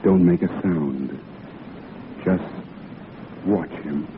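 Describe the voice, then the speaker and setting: A lowish male voice an earnest, protective gentleman friend. He speaks formally and emphatically, with the dramatic pauses of 1940s radio drama. The vintage recording is thin and narrow, with faint rumbling noise. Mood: gentle urging.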